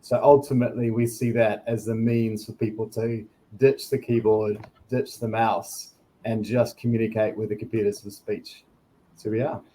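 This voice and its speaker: A man with a New Zealand accent, introducing himself as a guest on a video-call interview in a relaxed, explanatory way. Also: lowish voice, a little hiss or hum, low-quality recording. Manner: relaxed, explanatory, with some quick bursts. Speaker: guest, product director. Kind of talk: conversational self-introduction.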